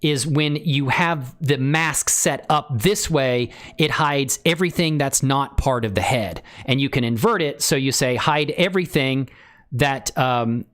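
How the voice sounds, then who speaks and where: Male tutorial streamer, medium pitch, informal and exclamatory, explaining steps live. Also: measured pace, a bit bright. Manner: exclamatory, with woos and interjections. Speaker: livestream tutorial host. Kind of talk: casual live software tutorial with chat asides.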